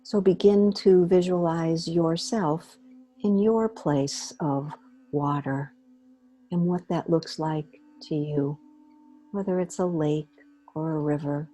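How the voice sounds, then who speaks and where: A woman leading a guided meditation in a gentle, unhurried way, pausing between short phrases. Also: low-pitched. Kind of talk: guided meditation, slow soothing instruction.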